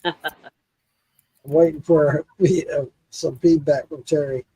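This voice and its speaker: A man hosting a casual live podcast who is a bit tipsy from drinking, warm in his thanks and earnest as he repeats them. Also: a little high, fairly even intonation, slow pace, breathy edge, no echo, rough recording. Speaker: podcast host.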